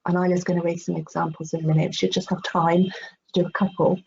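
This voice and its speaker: Chatty middle-aged woman presenting on a video call, mellow, bit muffled. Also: fairly low pitch, level tone, fast talker, vocal fry. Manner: hesitant, chatty, encouraging. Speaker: workshop presenter. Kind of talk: informal online workshop talk. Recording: dull, noisy online call audio.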